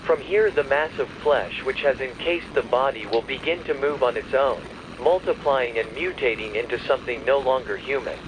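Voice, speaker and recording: A man delivering a scripted emergency broadcast warning in a formal, measured, official announcer's manner. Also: mid-range voice, background noise. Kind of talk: scripted emergency alert narration.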